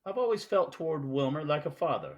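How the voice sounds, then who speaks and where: Man playing a pompous, high-pitched criminal mastermind. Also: very animated, a little fast, a little mellow. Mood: calculating. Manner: pompous, formal, elaborately polite. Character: courtly, verbose, calculating male criminal mastermind. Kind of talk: 1940s-style radio play acting.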